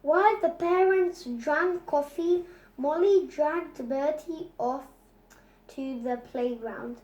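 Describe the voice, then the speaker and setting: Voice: high voice, takes it slowly, a little mellow, reverberant, lo-fi sound. A child reading a children's storybook aloud, carefully, with stumbles and repeated words.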